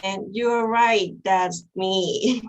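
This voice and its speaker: A woman's voice: mid-range voice, steady tone, low-quality recording. A young woman from Thailand, a native Thai speaker with a Thai accent, giving an earnest academic presentation as a graduate student over a video call. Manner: measured, earnest, slightly halting delivery.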